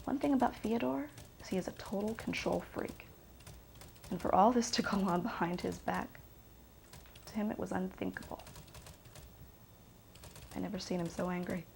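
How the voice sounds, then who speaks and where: A woman's voice: mid-range voice, moderate pace, gravelly, pauses often, darkish tone, lightly acted. Actress as wry, indignant female confidante, conversational drama monologue, mid-range voice, noisy recording. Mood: matter-of-fact, disapproving. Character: gossipy woman recounting a family quarrel, wryly indignant. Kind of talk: conversational monologue told as testimony.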